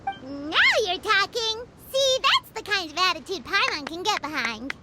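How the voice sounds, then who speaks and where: A woman voicing a tiny, cheeky fairy companion in an anime game, squeaky, chirpy and smug. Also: very animated, moderate pace, very noisy. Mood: approving. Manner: speaks of self in third person, smug, chirpy.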